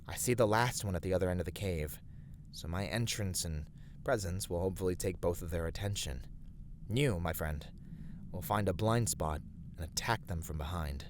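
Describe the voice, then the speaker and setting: A man playing a steady, righteous fantasy adventurer in an ASMR roleplay, speaking to a companion in measured, slightly formal, encouraging words. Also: low-pitched, background noise, natural voice. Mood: calm, trusting. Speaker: voice actor in a roleplay. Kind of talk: scripted ASMR fantasy roleplay addressed to the listener.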